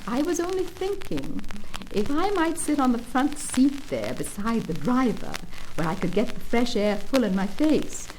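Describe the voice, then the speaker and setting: A woman as high, meek toad posing as washerwoman. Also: very animated, normal speed, darkish tone. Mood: meek, wheedling. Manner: meek, affectedly polite. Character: wheedling toad posing as a humble, frail old washerwoman. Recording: noisy old spoken-word record.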